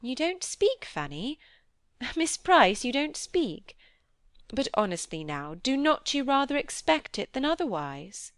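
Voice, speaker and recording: Woman playing a vivacious, higher-pitched society young lady. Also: unhurried, no room sound. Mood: teasing, probing. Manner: animated, exclamatory, inquisitive. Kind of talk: audiobook character dialogue.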